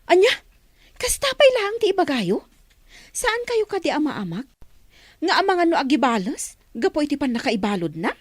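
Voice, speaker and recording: A woman's voice: high voice, big pitch swings, normal speed, no echo. An actress playing an indignant daughter, agitated and accusing toward her mother, in an Ilocano radio drama.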